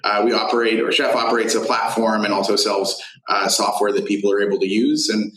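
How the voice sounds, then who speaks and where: Male tech founder as interview guest, lowish voice, halting delivery, roomy video-call sound. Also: flat delivery, fairly bright tone. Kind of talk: interview explaining his business.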